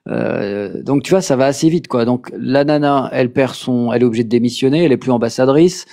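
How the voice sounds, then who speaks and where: A man's voice: mid-range voice, fairly quick, creaky, warm tone. A man hosting a casual political chat, talking hesitantly with many fillers and restarts. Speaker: podcast host.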